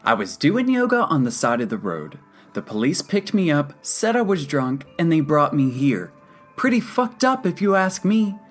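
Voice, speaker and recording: An audiobook character acted out by a woman: a brash, defiant young man in a psychiatric ward. His delivery is indignant and emphatic, pushed loud and raised well above the narrating voice, with music underneath. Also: low voice, very animated, moderate pace, voice pushed up. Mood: defensive, sarcastic.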